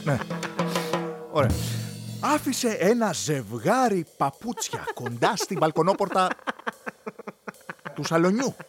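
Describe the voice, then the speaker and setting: A man's voice: high voice, huge pitch swings, pauses often. Wry man reading aloud over music. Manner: wry, exasperated asides. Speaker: reader of a play's solutions. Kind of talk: live reading to an audience. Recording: music playing behind.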